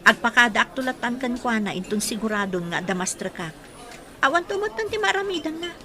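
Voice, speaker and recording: A woman's voice: a little high, lively intonation, brisk, pushed and bright. An actress playing a worried but determined wife and mother in an Ilocano radio drama, with an Ilocano accent. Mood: worried, insistent.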